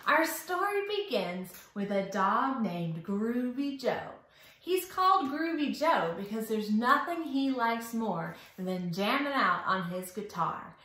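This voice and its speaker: A woman's voice: fairly high pitch, pushed and bright. A woman hosting a children's storytime, speaking in an exaggerated, sing-song way, asking questions and giving praise as she tells the story. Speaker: children's library storytime host. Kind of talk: interactive storytelling for young children. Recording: very echoey room.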